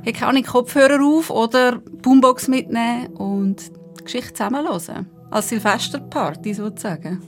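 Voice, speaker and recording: A woman's voice: fairly high pitch, normal speed, faint music behind. A woman co-hosting a podcast in casual, conversational chat. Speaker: podcast co-host.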